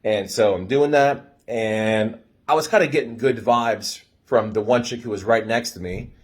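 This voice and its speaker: Husky young American guy telling stories. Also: lowish voice, normal speed. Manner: animated retelling, acting out quoted dialogue. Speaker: storyteller giving dating advice. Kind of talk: casual storytelling with profanity.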